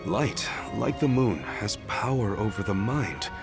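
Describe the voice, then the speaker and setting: An actor playing a kindly, dry, considerate mercenary in a video game, with a measured delivery. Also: male voice, lowish voice, lively intonation, over music. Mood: calm. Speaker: mercenary character.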